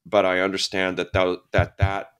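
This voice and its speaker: A middle-aged man, a guest actor, talking reflectively in a casual interview, often pausing and restarting his sentences. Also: low-pitched, monotone, a bit bright, clean tone. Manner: reflective, halting, many restarts and fillers.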